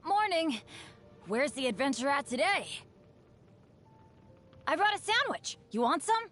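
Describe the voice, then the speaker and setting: A woman's voice: high voice, very animated, measured pace, a bit bright, soft background music, exaggerated voice. A woman voicing an upbeat but unlucky teenage boy adventurer in a video game, with an earnest, chatty, boyish delivery. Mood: cheerful, wry. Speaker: game character voice actor. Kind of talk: scripted game character voice lines.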